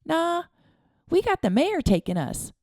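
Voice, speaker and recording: A bubbly little boy's high, bright voice that leaps with excitement, put on by a woman reading a storybook. The words bounce up and down in pitch and come out louder than the narration. It is dry and close. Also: a little slow, dry recording, exaggerated voice. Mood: gleeful. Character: excited little boy, eager for school.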